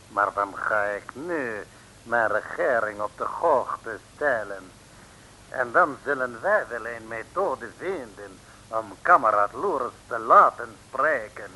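An actor playing a menacing, formal male agent of a foreign regime, measured and coldly polite, in a 1950s radio serial. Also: lowish voice, animated, bright, forceful tone, exaggerated voice. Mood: cold menace. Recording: hissy, noisy old radio recording.

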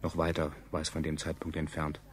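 An actor playing a detached narrator in a science fiction radio play, speaking in a dry, ironic, wordy way. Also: male voice, extremely low pitch, monotone, brisk. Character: detached, ironic male narrator recounting a catastrophe. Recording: faint noise, 1970s radio production.

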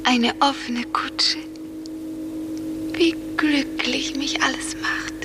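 Wistful young woman in love, high slow hushed voice, old radio play with loud music. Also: fairly even intonation. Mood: blissful. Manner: breathy, hushed, often whispering. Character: dreamy, wistful young woman fleeing with her lover. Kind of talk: 1950s German radio play acting.

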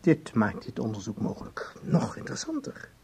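An actor in a radio drama playing a pedantic male researcher, husky and haltingly academic. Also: fairly low pitch, huge pitch swings, dark tone, exaggerated voice. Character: pedantic male researcher lecturing on his theory.

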